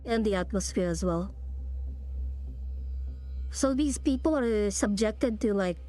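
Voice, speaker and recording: A woman's voice: mid-range voice, expressive, takes it slowly, warm tone. A woman streamer giving casual live commentary on a video game she is playing. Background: game music.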